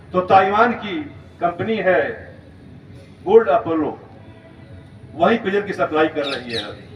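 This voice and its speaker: Man, school lecture, emphatic storytelling delivery, roomy sound, faint noise behind. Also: high-pitched. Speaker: school principal giving a talk. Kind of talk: motivational school lecture, storytelling about current events. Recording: roomy, distant, muffled, noisy recording.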